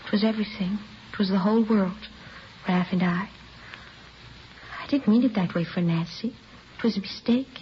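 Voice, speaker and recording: Brief: actress as defiant, obsessively devoted wife in a vintage crime radio play, fervent and insistent, dull hissy recording. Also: female voice, mid-range voice, normal speed, long pauses, natural voice. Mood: pleading, desperate. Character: defiant, lovesick married woman confessing to a killing. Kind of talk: 1950s radio drama acting.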